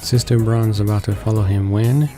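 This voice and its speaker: A man's voice: fairly low pitch, steady tone, normal speed. A man hosting a playthrough, reading a visual novel aloud and stumbling now and then over words. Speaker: let's play host. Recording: voice-over with game music behind.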